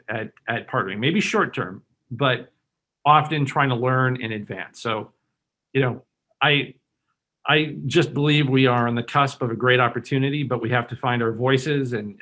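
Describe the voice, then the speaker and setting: A man's voice: medium pitch, fairly bright tone. A man who grew up in Hong Kong, speaking as a bank executive on a webinar panel, earnest and persuasive with many restarts and "you know" fillers.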